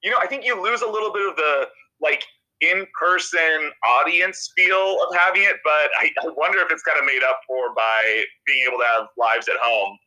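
A man in his thirties with a nasal, very bright voice sitting slightly high. He talks casually as a podcast guest, stumbling through fillers and restarting sentences. The sound has a radio-like quality. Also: poor audio. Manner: halting, many restarts and fillers. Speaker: TV comedy writer, guest. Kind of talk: casual interview chat.

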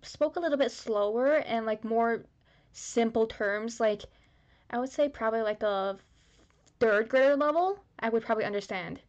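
A woman's voice: fairly high pitch, moderate pace, a little mellow, lo-fi sound. Young woman on a dullish mic. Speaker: podcast co-host. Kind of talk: casual chat.

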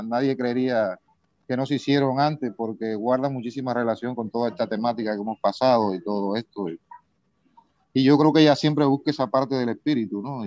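A man from eastern Cuba with a Cuban Spanish accent, answering interview questions in a polite, unhurried, reflective way. His voice sits at a middle pitch. He comes through a dull, dry remote connection with no room sound. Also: a little mellow, no echo. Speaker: singer, guest. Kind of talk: casual interview.

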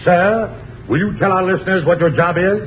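A high-voiced male announcer delivers grand, formal radio introductions in a 1940s newsreel style. The recording is dull and lo-fi, with heavy noise over it. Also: steady tone, a little fast.

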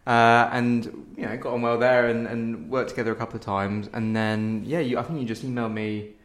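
A man's voice: mid-range voice, steady tone, a little fast. Young male theatre director, roomy sound. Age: young adult. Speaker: theatre director, interview guest. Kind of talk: casual interview chat.

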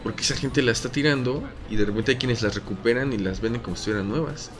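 A man's voice: mid-range voice, quick pace, music in the background. A man with a Mexican accent co-hosting a casual podcast chat, slangy and joking, rambling with restarts. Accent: Mexican Spanish. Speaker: podcast co-host.

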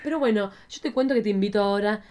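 A woman's voice: medium pitch, rapid-fire, darkish tone. A woman hosting a podcast in casual chat. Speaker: podcast host.

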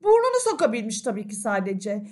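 A woman storyteller narrating a children's fairy tale in an expressive, conversational way. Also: on the high side, brisk. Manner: animated, conversational, addresses listeners. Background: faint music.

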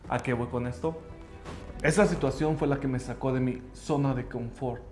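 A man whose first language is English gives an earnest, emphatic motivational talk about his language school, speaking in halting phrases. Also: medium pitch, fairly even intonation, darkish tone. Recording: roomy echo with faint music.

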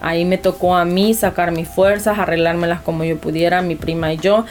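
A woman's voice: on the low side, little pitch movement, fairly quick, darkish tone, soft background music. A woman with a Honduran Spanish accent, an interview guest telling a personal story in casual conversation, explaining things directly to the listener.

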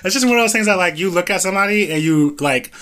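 A man's voice: sits high, animated, fast talker, sharp tone. A man in casual chat who rambles, fills his speech with "like" and often restarts.

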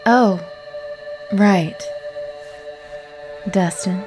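A mysterious young woman in a radio drama, guarded and alluring. She takes her time over short, evasive phrases. Music plays clearly behind her. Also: medium pitch, extremely slow. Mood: cool, brisk. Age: young adult. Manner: terse, evasive. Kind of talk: audio drama acting.

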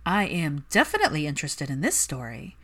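A woman voicing a haughty, sharp-tongued young witch with a huffy, dramatic manner in a story reading. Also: deep voice, sing-song, fairly quick, full character voice.

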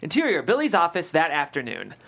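A low-voiced woman reads stage directions at a table read. Her pitch moves widely as she emphasizes each screen cue and caption. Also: huge pitch swings, a little fast, creaky, a bit bright. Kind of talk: script read aloud at a table read.

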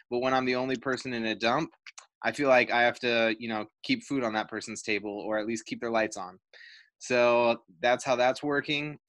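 Podcast host dude joking about himself, quick bursts, super dry close sound. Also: male voice, medium pitch, a bit bright, no room sound. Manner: awkward, self-mocking, talks fast in bursts. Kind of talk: casual chat.

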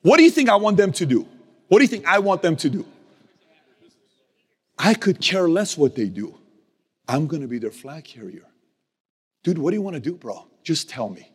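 Man, motivational leader, emphatic and repetitive, very lively delivery, reverberant hall. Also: fairly high pitch, moderate pace, long pauses, breathy edge. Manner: emphatic, repeats key phrases, swings from loud to hushed; casual street slang and mild cursing. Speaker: business leader speaking to his team. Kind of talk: motivational speech.

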